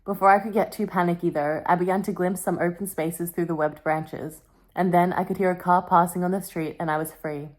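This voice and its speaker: A woman's voice: fairly low pitch, fairly even intonation, fairly quick. A young woman vlogging in a casual, confessional way, with a melodramatic, wry and self-mocking manner. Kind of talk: casual confessional vlog with dramatic book reading.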